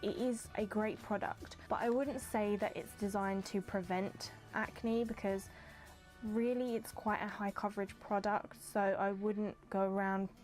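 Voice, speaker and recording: A young woman with a stuffy, congested voice talks through her beauty favourites in a loose, rambling way, full of "like" and "kind of". Her pitch sits in an ordinary middle range. Soft music plays faintly underneath. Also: steady tone, unhurried. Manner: chatty and rambling, lots of "like" and "kind of". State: congested from hay fever. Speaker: beauty vlogger. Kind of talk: casual chatty product review. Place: hot indoor room with windows open.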